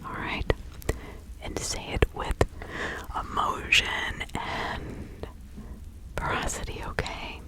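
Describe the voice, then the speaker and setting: A woman playing a helpful nature witch in a whispered ASMR roleplay, giving instructions in a breathy, halting, conversational way. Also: lightly acted, low-quality recording. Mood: patient, instructive. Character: practical, helpful nature witch advising a client in 1656.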